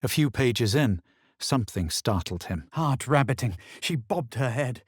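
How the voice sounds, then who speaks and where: A man voicing a self-assured, sardonic male adventurer in a fantasy video game, with a measured, superior delivery. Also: mid-range voice, expressive, slightly rough, no echo, clean studio sound. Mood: grave, reflective. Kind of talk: video game voice lines, narration and spell shouts.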